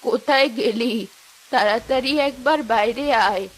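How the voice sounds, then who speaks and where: A woman voicing a gentle elderly village mother-in-law in a cartoon story, speaking in a drawn-out, motherly way. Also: a little high, pitched below the performer's own voice, rough recording. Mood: affectionate, calling.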